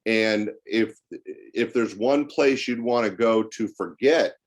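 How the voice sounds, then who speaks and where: Older guy w/ deep low voice, chatty podcast guest telling stories from his club days. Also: slow pace. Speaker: podcast guest, former comedy club owner. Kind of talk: conversational interview storytelling.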